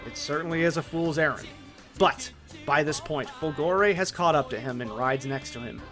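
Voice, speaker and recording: A man narrates a scripted video essay in a slightly high voice. He is opinionated and drops conversational asides, with faint music underneath. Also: moderate pace, fairly bright tone.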